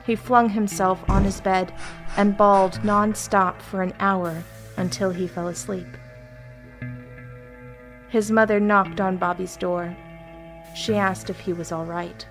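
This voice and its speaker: A woman narrating a scripted horror story in a measured, deliberate way with pauses. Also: mid-range voice, fairly even intonation. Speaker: story reader. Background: music.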